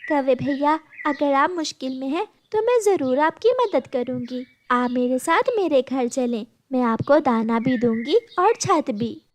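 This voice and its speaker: A woman's voice: high-pitched, animated, unhurried, hardly any pauses, slight background noise. A woman voicing a kind, worried mother bird in a cartoon, earnest and plaintive. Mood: earnest, kindly.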